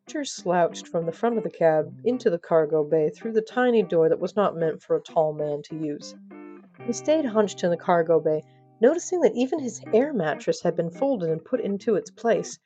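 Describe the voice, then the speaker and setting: A woman's voice: lowish voice, lively intonation, normal speed, warm tone, dull audio. A woman narrating comic audio fiction in a playful, wry manner, with asides to the listener. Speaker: story narrator. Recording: band-limited sound, music behind.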